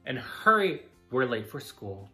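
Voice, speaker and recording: A man's voice: mid-range voice, very animated, measured pace, pushed and bright, faint music behind, exaggerated voice. Man as a caring storybook mom, very lilting. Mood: brisk. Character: Jacob's mother, caring, gently firm woman.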